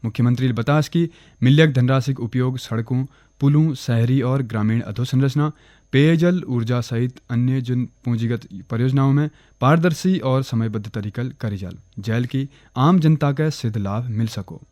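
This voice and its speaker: A man with a Kumaoni accent reading a regional radio news bulletin in a steady, formal way. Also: mid-range voice, normal speed, no echo, studio quality. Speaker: newsreader. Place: radio studio.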